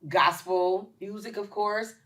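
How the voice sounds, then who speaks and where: A woman in her late forties, a chef and caterer talking as a guest in a casual podcast interview, emphatic and lively, with many ums. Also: mid-range voice, takes it slowly. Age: 40s. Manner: lively, emphatic, with shout-outs and filler ums.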